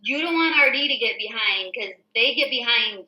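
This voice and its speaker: Bright, high-pitched American woman, chatty host. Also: expressive, moderate pace. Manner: chatty, informal, explanatory. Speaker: show host and real estate agent. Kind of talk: casual reading of a newsletter with commentary. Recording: echoey room.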